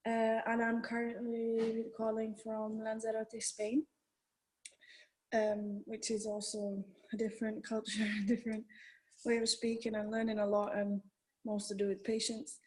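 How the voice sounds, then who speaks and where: Woman raised in the UK, mellow and even, reflective call intro. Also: on the high side, a little slow, poor audio. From United Kingdom. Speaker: course participant. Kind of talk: self-introduction on a group video call. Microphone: video call.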